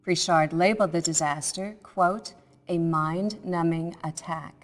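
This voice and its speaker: A woman as a low-voiced, formal news anchor. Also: long reverb tail, natural voice. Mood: sober, formal. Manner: measured, formal newsreader phrasing. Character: serious female television news anchor. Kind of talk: formal scripted news report.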